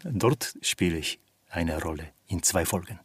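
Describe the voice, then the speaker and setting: Deep, slow-speaking man, halting delivery. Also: lively intonation, slightly rough, dry recording. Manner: halting, searching for words. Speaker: voice actor being interviewed. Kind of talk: casual interview talk.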